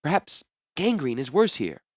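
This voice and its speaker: A man's voice: fairly high pitch, wildly swooping pitch, moderate pace, dry recording, clean studio sound, voice pushed up. A man voicing an earnest, compassionate female healer who pleads and implores. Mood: imploring.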